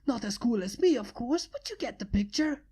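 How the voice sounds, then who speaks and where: A brash, boastful young pegasus mare, as a woman reads her out. Her voice is mellow and unhurried, full of swagger. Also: fairly high pitch, animated, breathy edge. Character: cocky fast female pegasus flyer.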